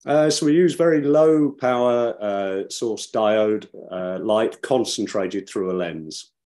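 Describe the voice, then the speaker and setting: Deep-voiced middle-aged founder fielding questions on a webcam call, measured and self-correcting. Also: male voice, warm tone. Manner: thinks aloud, self-correcting. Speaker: startup founder. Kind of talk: answering panel questions. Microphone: webcam on video call.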